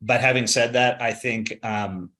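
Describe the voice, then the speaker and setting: Male executive guest, bright and forceful voice, emphatic, repeats himself while thinking. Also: medium pitch, fairly even intonation, measured pace. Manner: emphatic, repeats words, says "right?". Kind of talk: conversational interview answers.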